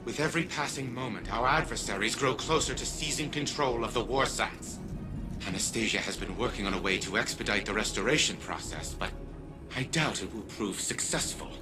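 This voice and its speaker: An actor playing an arrogant, impatient scientist in a video game, giving briefings in a formal, precise, pompous way. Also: male voice, mid-range voice, animated, a little fast, over music, close to an everyday voice. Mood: haughty, impatient. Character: arrogant, brooding male genius scientist, self-important and impatient.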